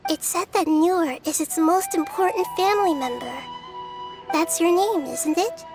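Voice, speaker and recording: A small girl deity in a fantasy game, with a high, youthful voice. The manner is gentle and earnest, wise beyond a child's years, with music playing behind. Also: measured pace. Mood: apologetic, consoling. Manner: gentle, thoughtful, articulate. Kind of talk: video game voice acting.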